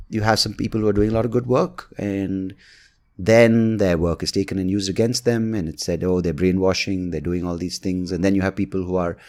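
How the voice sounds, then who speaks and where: A male podcast host with a low voice speaks casually and without a script. He rambles and hesitates, working out his thoughts as he goes. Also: darkish tone. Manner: rambling, hesitant, thinking aloud. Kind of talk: casual, unscripted podcast monologue and chat.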